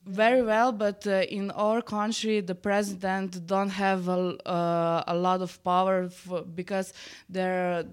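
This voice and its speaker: A woman with a Slovenian accent, a teacher giving unscripted interview answers in halting, simple English. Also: medium pitch, flat delivery, clear, resonant tone.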